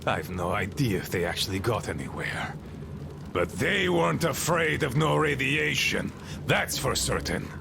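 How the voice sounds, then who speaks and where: An actor playing a bitter, commanding, eccentric old boatman in a video game, with a gravelly voice and a theatrical, grumbling way of rambling. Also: mid-range voice, sing-song, a little slow, noisy background, heavily acted. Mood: grudging, sardonic. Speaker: game character, leader of a small river crew. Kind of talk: dramatic video game monologue.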